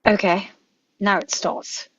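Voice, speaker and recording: A woman reading the part of an eccentric, quick-witted male adventurer doctor, wry and musing, in a script read-through. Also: medium pitch, little pitch movement, fairly bright tone, no room sound. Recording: dull lo-fi microphone.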